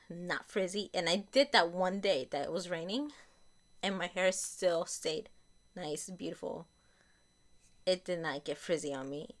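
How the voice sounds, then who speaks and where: Slightly raspy, lower-voiced chatty woman. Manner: chatty, repeats and corrects herself. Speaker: beauty vlogger. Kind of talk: casual product review.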